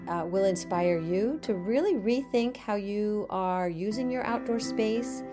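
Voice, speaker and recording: A woman hosts an outdoor classroom show, gushing as she introduces and interviews a guest. Her pitch is ordinary, and she repeats her greetings with eager warmth. Clear music plays behind her voice. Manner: effusive and gushing, repeats words. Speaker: podcast host and interviewer. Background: music under the intro.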